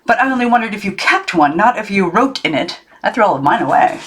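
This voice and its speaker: A woman's voice: medium pitch, big pitch swings, quick pace, lightly acted. A woman voicing a chatty, teasing uncle in a children's storybook reading. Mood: curious, probing. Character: Uncle Morris, older male relative, chatty and teasing.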